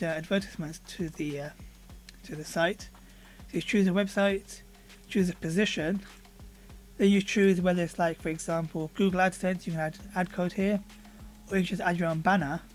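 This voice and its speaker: A hoarse man reviewing a software tool, casually talking through a screen recording with frequent fillers. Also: sits high, a little fast, soft background music, rough recording. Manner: relaxed, conversational, with frequent fillers. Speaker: product reviewer.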